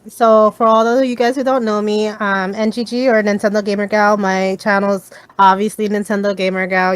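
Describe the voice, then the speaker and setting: A woman co-hosting a casual gaming podcast, blunt and quick to swear and laugh. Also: medium pitch, smooth, no room sound. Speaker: podcast co-host, streamer.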